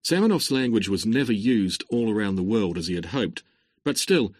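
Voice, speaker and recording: A man giving a lecture for a listening exam, with clear, measured delivery that lifts on linking words. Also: lowish voice, lively intonation, no echo, clean studio sound. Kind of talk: scripted exam-recording lecture.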